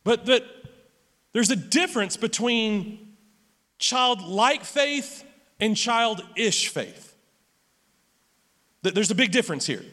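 A man preaching a sermon as pastor, with a loud voice, often stumbling over and repeating his words as he goes. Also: high voice, takes it slowly, long pauses. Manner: stammering restarts, animated and repetitive. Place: church sanctuary. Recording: long hall reverb.

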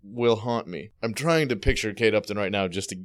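A man's voice: on the low side, a little fast. A man co-hosting a podcast in casual chat, frank and crude, rambling with frequent restarts. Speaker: podcast co-host.

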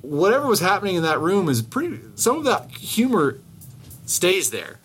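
A man co-hosting a podcast in casual chat, rambling with many restarts, swearing freely and getting animated as he tells stories. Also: sits high, normal speed, slight background noise. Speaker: podcast co-host.

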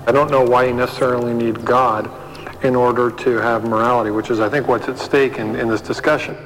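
A man's voice: medium pitch, flat delivery, brisk, fairly bright tone, soft background music, low-quality recording. A man in a discussion, explaining an argument in an articulate, academic way.

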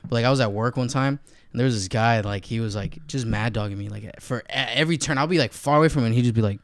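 Dude rambling thru a story on a podcast, tons of "like", dry close mic, average voice. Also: male voice, medium pitch, fast talker, no echo. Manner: rambling storyteller, heavy "like" filler. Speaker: podcast co-host or guest. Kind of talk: casual chat.

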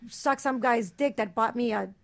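A woman's voice: fairly high pitch, moderate pace, gravelly, heavy background noise. A brash, emphatic woman in slangy, casual talk on a radio panel.

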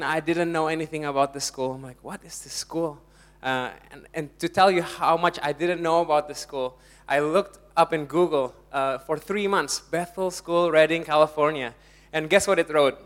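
A young man from Indonesia, preaching a lively, jokey sermon to a congregation and laughing often. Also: sits high.

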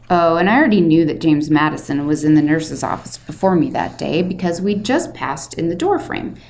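A woman's voice: fairly low pitch, darkish tone. A woman reading as a short, wisecracking eighth-grade boy, chatty, theatrical and self-deprecating, in a storybook read-aloud. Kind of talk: expressive first-person audiobook-style reading. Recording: faint background noise.

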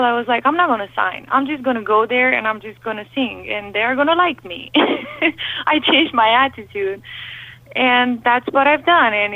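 A young woman with a Romanian accent, a lead singer telling her story in a phone interview, candid and animated with lots of fillers. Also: mid-range voice, no echo. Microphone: telephone. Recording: tinny phone line, dry.

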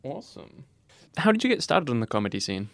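A man's voice: mid-range voice, very animated, quick pace, vocal fry, no room sound. A man hosting a podcast, asking short, jokey questions. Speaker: podcast host. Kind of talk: casual interview hosting.

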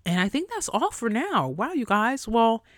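A young woman hosting a solo podcast in a casual, chatty way, blunt and profane, her voice jumping high when she gets excited. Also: normal speed, no echo, clean studio sound. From New York area. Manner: profane, blunt asides to listeners. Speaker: podcast host.

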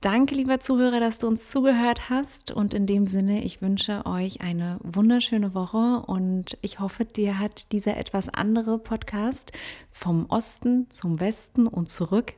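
A woman hosts a podcast, interviewing a guest and addressing her listeners directly. Her voice sits at an ordinary mid pitch, and she fills her pauses with ums. Her tone is friendly and personal. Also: warm tone. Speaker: podcast host.

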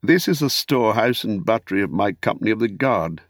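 A man's voice: fairly low pitch, animated, fairly quick, no room sound. A hearty guardsman of a fantasy city, as a man reader plays him. He is friendly and hospitable, speaking in formal, archaic phrases with courteous ease. The recording is dry and close. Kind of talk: audiobook character acting.

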